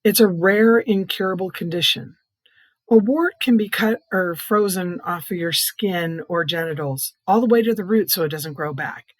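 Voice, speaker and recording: A middle-aged or older woman with a soft, hoarse, breathy voice, giving an earnest personal testimony in plain, explanatory words. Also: on the low side, normal speed, no room sound. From Iowa, United States. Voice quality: soft, hoarse, breathy voice from vocal cord growths. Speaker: patient advocate, child care nurse consultant.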